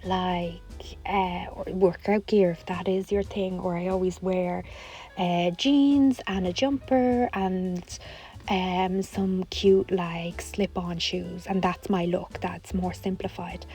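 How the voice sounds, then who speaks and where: A hoarse woman, chatting casually to camera in a rambling way with lots of fillers. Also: fairly low pitch, fairly even intonation, takes it slowly, dark tone, faint music behind. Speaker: vlogger.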